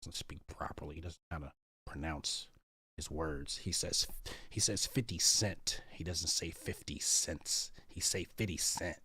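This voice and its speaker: A man's voice: very deep voice, a little slow, bright, forceful tone, slightly rough, no echo, studio quality, exaggerated voice. A man putting on the voice of a snobbish person who sneers at someone's grammar, in a prim, disdainful way. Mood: mocking. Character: sniffy, snobbish man correcting someone's speech.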